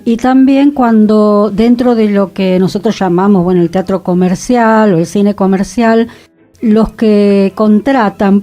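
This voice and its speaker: A woman, co-hosting a radio discussion and explaining her ideas in a measured way. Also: medium pitch, warm tone. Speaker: radio co-host. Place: radio studio.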